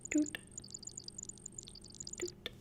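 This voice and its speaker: A woman playing a friendly, reassuring technician at a futuristic customization service in a soft-spoken, casual ASMR roleplay. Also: slight background noise. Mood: gentle, reassuring. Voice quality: soft, creaky. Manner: gentle, reassuring, casual, unhurried.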